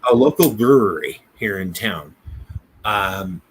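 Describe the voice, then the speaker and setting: A man with an American accent in a casual business discussion, speaking haltingly, often repeating words and restarting. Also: lowish voice, expressive, rough recording. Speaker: business podcast co-host. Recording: noticeable background noise.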